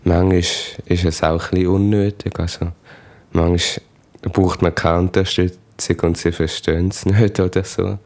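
Very low-voiced teenage boy. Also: little pitch movement, normal speed, darkish tone, a little hiss or hum. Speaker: interviewee. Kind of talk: casual interview talk.

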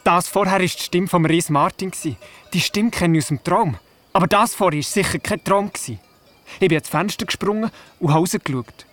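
A man's voice: sits high, moderate pace, fairly bright tone, soft background music. A man with a Swiss German accent playing a young, curious boy who narrates and talks in a radio play. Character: young boy on holiday at his grandmother's, curious.

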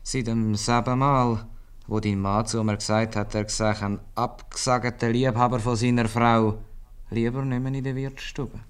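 A man's voice: medium pitch, level tone, moderate pace, smooth, natural voice. A man playing a middle-aged, dry but kindly town bailiff and old suitor in a 1950s Swiss German radio comedy. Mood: awkward, rueful. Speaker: actor in a radio play.

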